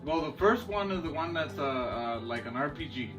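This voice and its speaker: Higher-pitched man chatting far from the mic. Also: lively intonation, moderate pace. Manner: profane, slangy, jokey. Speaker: podcast co-host. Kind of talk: casual unscripted banter about movies and games. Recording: far from the mic, music behind.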